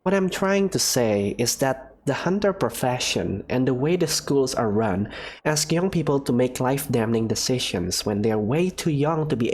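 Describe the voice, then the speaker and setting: A man voicing a calm female academy professor who lectures in a measured, dryly teasing way. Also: medium pitch. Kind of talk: fan visual novel voice acting.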